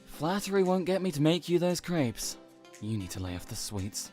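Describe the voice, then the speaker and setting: Man acting an exasperated, protective uncle, swinging pitch. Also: very animated, normal speed, a little mellow, soft background music. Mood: annoyed. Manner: blunt, exasperated. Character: irritable, protective uncle, gruff and worried, swears freely. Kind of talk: audio drama acting.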